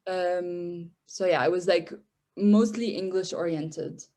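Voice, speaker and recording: A woman's voice: on the low side, steady tone, slow, dark tone. A young woman from Syria, whose first language is Arabic, speaking as a podcast guest with a hesitant manner, often repeating words. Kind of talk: podcast interview.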